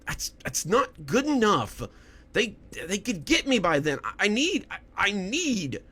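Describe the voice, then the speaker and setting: A man's voice: high voice, sing-song, unhurried, bright, forceful tone, husky, voice pushed up, full character voice. A man voicing a confused, desperate male writer who stammers and pleads in a horror audio story. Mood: desperate pleading.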